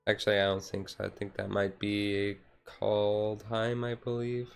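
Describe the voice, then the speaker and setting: A man's voice: on the low side, completely flat delivery, measured pace, vocal fry, clear, resonant tone. A man streaming a casual, laid-back ASMR chat in a rambling way, with music behind him.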